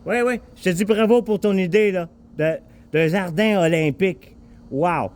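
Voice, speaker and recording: A man with a Quebec French accent chatting casually in a rambling, halting way, repeating himself and swearing informally. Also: high voice, a little mellow. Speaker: vlogger talking with an AI assistant.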